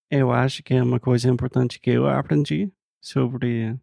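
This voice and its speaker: American guy speaking learner portuguese, super gravelly and dark, slow, fillers everywhere, dry mic. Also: mid-range voice, no room sound. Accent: American English. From United States. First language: English. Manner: slow, searching for words, says "tipo" often. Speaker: podcast co-host. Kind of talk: casual conversational chat.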